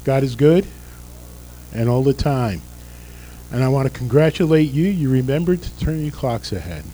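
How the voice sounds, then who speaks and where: An older male pastor whose voice is fading after a busy week. His tone is mellow and dark, and he jokes with the congregation between announcements. Soft music plays faintly behind him in the sanctuary. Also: mid-range voice, expressive, moderate pace, low-quality recording. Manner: folksy, joking asides. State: losing his voice. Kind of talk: church service announcements and prayer. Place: church sanctuary.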